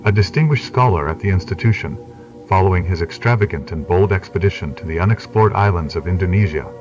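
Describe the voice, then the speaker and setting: A man reading scripted documentary narration in a formal, measured way. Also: sits low. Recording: distant, dull lo-fi analog video sound. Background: clear music.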